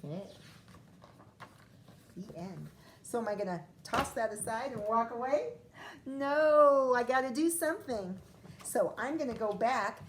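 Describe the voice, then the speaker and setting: A woman teacher reads a picture book aloud for her first graders, taking it slowly. She stops often to ask the children questions and explain new words. A faint hum of room noise sits under her voice. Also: on the high side, lively intonation. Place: classroom or home, recorded for video.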